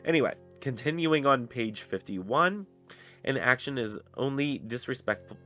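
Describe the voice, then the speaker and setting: A man's voice: medium pitch, lively intonation, moderate pace, sharp tone, soft background music. A young man with a stuffy nose, reviewing a book in a rambling, casual way with many fillers and restarts. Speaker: book reviewer on a pagan channel.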